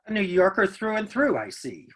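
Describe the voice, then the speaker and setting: A man's voice: high-pitched, sing-song, normal speed, breathy edge, heavily acted. A man acting a genial, chatty middle-aged businessman father in a play reading. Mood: jovial.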